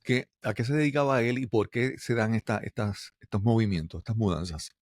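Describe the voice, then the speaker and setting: A man's voice: on the low side, brisk, no echo, studio quality. A man hosting a podcast interview, asking questions in a hesitant way with many restarts. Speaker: podcast host.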